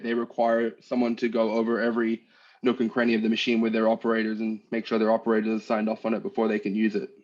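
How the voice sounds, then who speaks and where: A man born in Australia who picked up an American accent, talking easily on a call and often pausing with ums while he describes his trade work. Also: mid-range voice, muffled recording. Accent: American-tinged Australian. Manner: relaxed, hesitant with many ums and uhs. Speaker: guest, machine demonstrator and trainer. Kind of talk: casual interview over a call.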